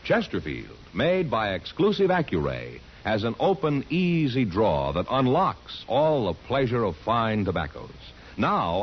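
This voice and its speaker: A man reading a 1950s radio advertisement in a polished, deliberate announcer's delivery. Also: lowish voice. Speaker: commercial announcer. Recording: dull 1950s radio recording, faint noise.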